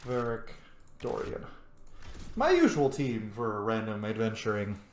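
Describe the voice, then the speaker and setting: A man's voice: lowish voice, sing-song, a little slow, darkish tone, a little hiss or hum. Man muttering through gameplay in echoey room. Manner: mutters to himself while playing. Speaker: game streamer, let's player. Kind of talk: casual gameplay commentary.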